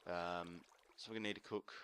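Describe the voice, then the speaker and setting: A man casually narrating a building tutorial while playing, murmuring to himself and correcting himself as he goes, his voice a little croaky. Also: deep voice, fairly even intonation, a little fast, a bit bright. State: slightly croaky from screaming at a concert. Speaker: let's play host.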